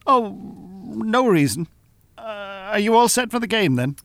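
Lively Irish con-artist, bossy and exacting. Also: male voice, high-pitched, normal speed, dry recording, full character voice. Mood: smoothly polite. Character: scheming professional male hypnotist con-artist, bossy, impatient. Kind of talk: audio drama acting.

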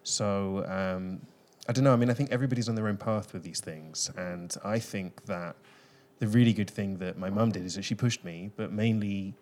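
A young man from the UK with a London accent, a guest in an interview, talking in a thoughtful, relaxed way with many ums and pauses. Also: on the low side. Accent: London English. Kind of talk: casual interview conversation.

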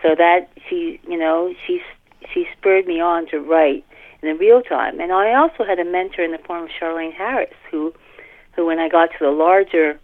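A woman's voice: lowish voice, normal speed. A woman chatting casually as an interview guest, exclamatory and colloquial, repeating phrases for emphasis. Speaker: guest author. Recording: muffled phone or radio-like line.

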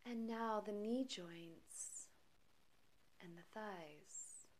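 A woman leading a guided meditation in a soft, breathy voice, calm and soothing, with long pauses between phrases. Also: medium pitch, low-quality recording. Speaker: meditation guide and podcast host.

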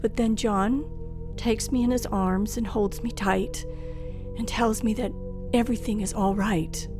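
A woman acting out a frightened young woman in an old radio thriller, telling of her night of terror. Her high voice moves slowly and breathlessly, dramatic in every phrase, with music playing behind her. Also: steady tone, close to an everyday voice. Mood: shaken relief.

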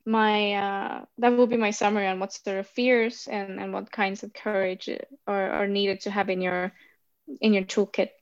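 A woman's voice: mid-range voice, dry recording, highs cut off. A woman coach answering interview questions in a thoughtful way, often repeating words as she searches for them. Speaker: coach for expats.

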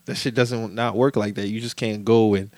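A man's voice: on the low side, steady tone, moderate pace, a little mellow, no room sound, studio quality. A man in his late twenties with an American accent, chatting casually and candidly with lots of slang, swearing and check-in phrases. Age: late 20s. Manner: heavy slang and profanity, repeated check-in phrases.

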